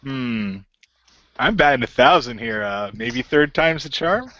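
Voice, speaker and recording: A man's voice: medium pitch, expressive, a little slow, a bit bright, dry recording, highs cut off. A man voicing a cocky, playful shapeshifting genie hero in an audio roleplay, taunting and joking as he goes. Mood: wry. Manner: taunting, jokey.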